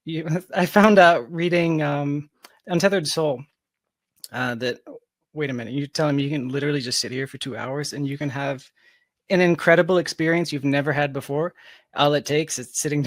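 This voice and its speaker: A man's voice: a little high, steady tone, fairly quick, dry recording, studio quality. A man in his thirties from Georgia giving reflective, explanatory answers as a guest in a conversational interview. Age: 30s. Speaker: podcast guest, software developer.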